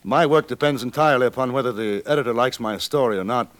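A man's voice: medium pitch, quick pace, a bit bright, no room sound, close to an everyday voice. A man playing a courteous, understated English newspaper correspondent with an English accent in a 1950s radio Western. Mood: courteous, curious. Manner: polite, understated, wry. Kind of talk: 1950s radio drama acting and scripted narration. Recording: vintage 1950s radio recording.

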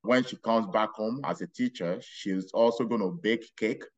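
Brief: middle-aged man, Nigerian accent, lowish voice, muffled lo-fi audio, storytelling podcast guest. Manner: unhurried, rambling with restarts and laughs. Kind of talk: casual interview storytelling.

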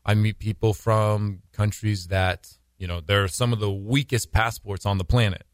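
A man from the United States, a missionary guest on a radio interview, speaking thoughtfully and haltingly, with frequent restarts. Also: fairly low pitch, steady tone, clean tone, no room sound, studio quality. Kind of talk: casual interview talk.

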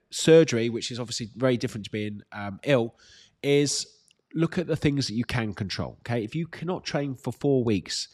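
A British man with a slightly congested voice, working as a fitness coach, answering questions casually and giving direct, encouraging advice with emphatic repetition. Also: medium pitch. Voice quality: slightly congested, bunged-up nose. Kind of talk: casual live Q&A, reading out questions and advising.

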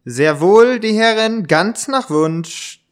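A man's voice: high-pitched, expressive, slow pace, smooth. Chauffeur, played by a man, formal, deferential, courteous, amateur radio drama. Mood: servile. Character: deferential, formal English-style chauffeur, Morton. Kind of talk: amateur radio play reading.

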